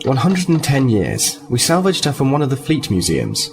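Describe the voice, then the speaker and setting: Diplomatic lieutenant male actor, animated intonation, composed and explanatory, music behind, sci-fi audio drama. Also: medium pitch, normal speed, creaky. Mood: composed, cordial. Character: earnest, diplomatic male starfleet lieutenant, liaison officer.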